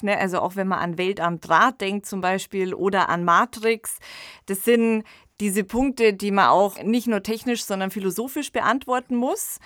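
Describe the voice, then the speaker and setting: A woman's voice: medium pitch, fairly bright tone, no room sound, studio quality. A woman co-hosting an analytical discussion, explaining reflectively in a conversational way and often tagging phrases with "ne". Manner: explanatory, reflective, frequent "ne" tags. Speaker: podcast co-host and expert commentator.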